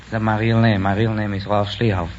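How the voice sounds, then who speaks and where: A young man from Germany with a German accent, answering earnestly and haltingly as a quiz contestant. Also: lowish voice, little pitch movement. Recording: dull, noisy vintage radio recording.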